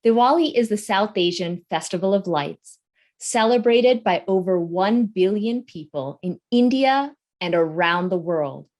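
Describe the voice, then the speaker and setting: An American woman in her thirties presents trivia for children as a picture book author. Her mid-pitched voice moves in a lively, animated way. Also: a little slow. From United States. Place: indoor room with bookshelves.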